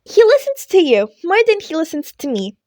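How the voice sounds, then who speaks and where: Girl doing a little animal principal, sly n polite, high chirpy coaxing voice, story reading. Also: wildly swooping pitch, moderate pace, darkish tone, no room sound, clean studio sound, heavily acted. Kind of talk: fan fiction audio reading.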